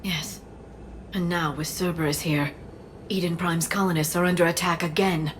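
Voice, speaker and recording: A woman voicing a grave, thoughtful alien scholar in a video game, soft and measured. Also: fairly low pitch, steady tone, slight background noise, close to an everyday voice. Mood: solemn.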